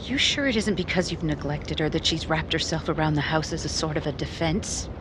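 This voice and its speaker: A woman's voice: deep voice, brisk, background noise, lightly acted. An actress playing a playful, free-spirited woman in a 1950s-style radio drama, teasing and coaxing as she talks. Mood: probing.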